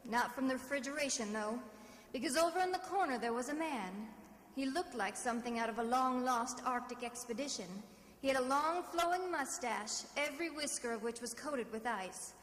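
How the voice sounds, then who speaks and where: A woman's voice: sits high, moderate pace. An American actress playing a wisecracking, self-assured female private detective in a wry, theatrical 1940s radio drama style. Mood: wry narration. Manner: wry, hard-boiled, theatrical delivery. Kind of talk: 1940s radio detective drama, first-person narration and dialogue. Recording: old radio recording, reverberant, loud music.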